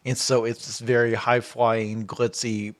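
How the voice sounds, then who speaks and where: Man, mid pitch, casual podcast storyteller; animated, acts out quotes. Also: measured pace.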